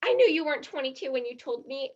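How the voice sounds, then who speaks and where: A woman's voice: high voice, big pitch swings, fast. An actress in a stage play as a blunt, skeptical, dramatic teenage girl, in a slightly muffled recording. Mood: indignant, accusing.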